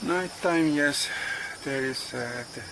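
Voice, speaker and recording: A man in his forties vlogging casually outdoors on a farm, speaking in halting English and searching for words, recorded on a handheld phone. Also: fairly high pitch, background noise. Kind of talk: casual vlog narration. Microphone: phone camera at arm's length. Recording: outdoor ambience with insects and animals.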